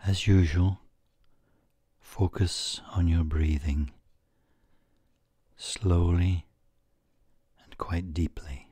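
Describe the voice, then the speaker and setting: A man leads a guided meditation in a deep, mellow voice. His delivery is very unhurried and soothing, in short measured phrases with pauses. The tone is soft and breathy, often fading toward a whisper. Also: steady tone, dry recording.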